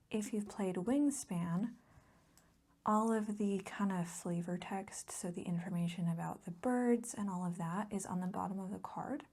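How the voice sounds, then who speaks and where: A woman doing a casual, soft-spoken ASMR voiceover, hushed, gentle and informal, breathy at times. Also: mid-range voice. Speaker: host of a board game ASMR channel. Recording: steady background noise from a laptop. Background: laptop fan noise.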